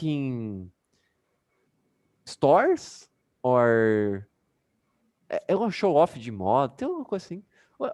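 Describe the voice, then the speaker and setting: A man's voice: on the high side, darkish tone, dry recording, studio quality. A Brazilian man with a Brazilian Portuguese accent, teaching an English class casually over a video call and chatting with many fillers.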